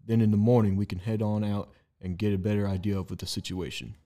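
A man's voice: on the low side, fast talker, mellow, close to an everyday voice. A man playing a stern, practical frontier survivor with a curt, authoritative manner in an audio drama. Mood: stern, guarded. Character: stern, practical Wild West man, wary protector. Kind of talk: amateur audio drama acting.

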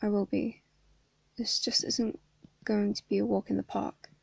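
A woman with a hoarse voice reading the lines of an anxious teenage boy who is facing a court trial, quiet and shaky. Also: medium pitch, little pitch movement, a little slow, dry recording, exaggerated voice.

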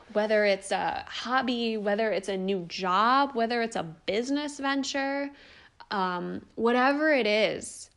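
A young woman hosting a podcast, talking earnestly and encouragingly about life purpose, casual with the odd swear word. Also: mid-range voice. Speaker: podcast host. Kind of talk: spiritual self-help monologue.

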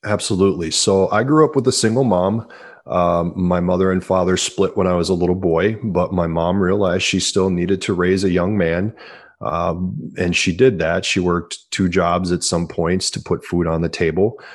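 A man's voice: deep voice, steady tone, moderate pace. A man in his forties, an author answering interview questions earnestly and repeating words as he starts sentences. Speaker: author guest.

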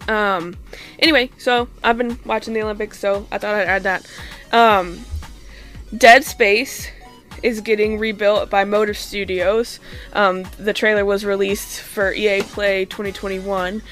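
A woman's voice: mid-range voice, steady tone, a little slow, a bit bright. Female podcast co-host, mid-range voice, informal news recap, faint music bed. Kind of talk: casual, ad-libbed news recap.

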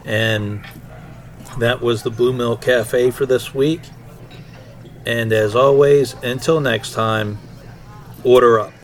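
A man's voice: mid-range voice, fairly even intonation, slow pace, pauses often. A man hosting a podcast, speaking casually to his guest and listeners. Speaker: podcast host.

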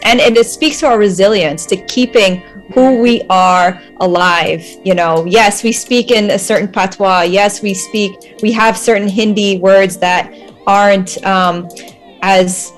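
A woman's voice: mid-range voice, measured pace, faint music behind. Earnest woman dentist talking. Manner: earnest, reflective, laughs between points. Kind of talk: casual group discussion.

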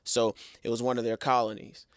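A man co-hosting a podcast in casual chat, lively and speaking straight to viewers with slang. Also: medium pitch, a little fast, no room sound, clean studio sound. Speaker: podcast co-host.

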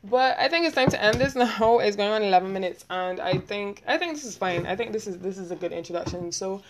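A young woman with a Barbadian accent talking quickly and rambling, hosting a casual solo podcast and laughing often. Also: medium pitch, crowd noise. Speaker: podcast host.

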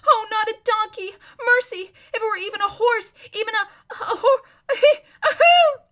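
A haughty young prince from a storybook, acted out by a woman reader. The voice is high, sharp and piercing, shrieking grand threats with vain, theatrical rage. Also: expressive, moderate pace. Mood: wailing horror. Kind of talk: storybook read-aloud.

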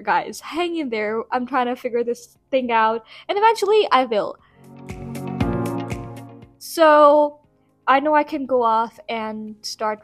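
A woman's voice: fairly high pitch, no room sound. Chatty young woman podcast host. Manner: chatty, rambling, self-deprecating. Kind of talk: casual podcast chat.

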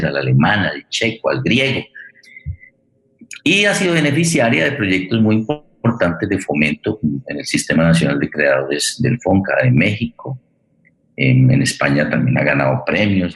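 A man with an extremely low voice speaks as the host of a theatre forum. He is deliberate and halting, often searching for the right word. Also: a bit bright, poor audio. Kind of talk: introducing a guest at a public forum.

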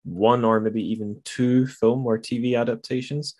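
A man's voice: mid-range voice, level tone, moderate pace, darkish tone, dry recording. A man hosting a casual podcast discussion, speaking in a tentative way and often qualifying what he says. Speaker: podcast host.

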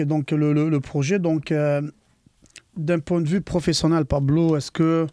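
A male podcast host with a slightly higher voice. He asks long, winding questions and keeps up a stream of agreeing murmurs. He is recorded dry and close. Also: warm tone, no echo. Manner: chatty, fills in with agreement sounds, rambling long questions. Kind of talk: casual podcast interview.

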